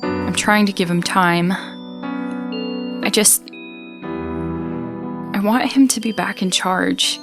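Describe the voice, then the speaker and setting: A woman's voice: medium pitch, very slow, gravelly, pauses often. A young woman acting as a worried, weary stranded student in a sci-fi audio drama, speaking in a hesitant, introspective monologue. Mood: worried, downcast. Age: young adult. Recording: prominent music behind the voice.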